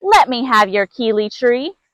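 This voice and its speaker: Storybook villain: a big bad fire acted by a woman, high-pitched, roaring and menacing. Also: big pitch swings, a little slow, fairly bright tone, no echo, clean studio sound. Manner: roaring, chanting threats.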